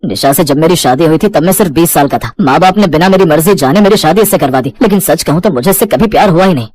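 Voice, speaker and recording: A man's voice: on the high side, fast, darkish tone, no echo, lightly acted. A man playing a selfish, evasive young city husband in a cartoon story, defensive and cold, mixing in English words.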